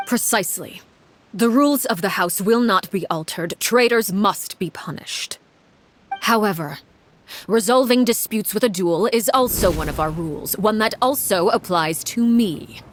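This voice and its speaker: An actress playing a cold, commanding woman who heads a house, speaking in a measured, formal and icily calm way. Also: medium pitch, a little hiss or hum, natural voice. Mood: stern. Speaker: villainous house leader.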